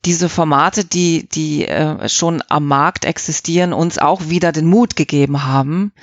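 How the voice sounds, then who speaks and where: A woman's voice: low voice, fairly even intonation, measured pace, no echo, dull audio. A middle-aged woman giving casual, reflective interview answers, thinking aloud in long, rambling explanations. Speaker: audio drama producer and editor, interviewee.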